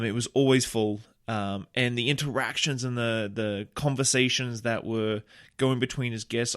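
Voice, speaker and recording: Male podcast host, mid pitch, casual and eager, filler-heavy delivery. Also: a bit bright. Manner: filler-heavy, enthusiastic, restarts. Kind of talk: casual podcast intro and interview. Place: small bar.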